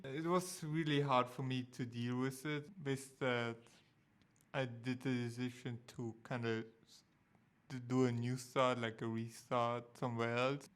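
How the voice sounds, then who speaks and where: Man, Austrian German accent, young adult, smooth resonant voice, careful measured phrasing, dry humor. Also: mid-range voice, a bit bright. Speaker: co-host, husband. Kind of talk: casual storytelling to viewers.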